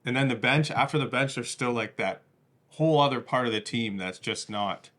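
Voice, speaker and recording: Man, podcast co-host, average pitch, casual conversation, informal, slangy. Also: moderate pace, fairly bright tone.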